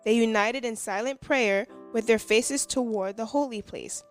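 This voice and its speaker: A woman reading a scripted devotional in a measured, reverent manner. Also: on the high side, soft background music. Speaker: devotional reader and hymn singer.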